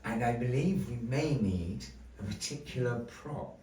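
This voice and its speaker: A theatrical man telling a puppet-show story with a lively, swooping delivery, unhurried and deliberate. He sounds distant, in a roomy, echoey gallery with a soft background hum of people. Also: medium pitch. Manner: theatrical, playful, talks to the audience between story parts. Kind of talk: live puppet show narration with audience chat. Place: gallery venue, live show. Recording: echoey room, mic at a distance.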